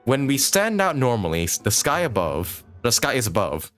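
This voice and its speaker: A man's voice: mid-range voice, wildly swooping pitch, moderate pace, a bit bright, soft background music. A man playing a pompous, argumentative male scholar, reading game text aloud dramatically. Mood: challenging.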